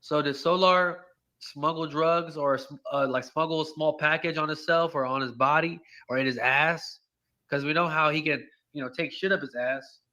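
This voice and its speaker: A man riffs sarcastically through a reaction video in crude, casual talk. His voice is slightly high and bright with some edge to it. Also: steady tone, moderate pace, highs cut off. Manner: crude, sarcastic, speculative storytelling.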